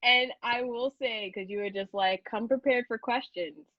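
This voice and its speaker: A woman's voice: fairly high pitch, moderate pace, no echo, lo-fi sound. A woman interviewer chatting casually in a playful, rambling way. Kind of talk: casual chat.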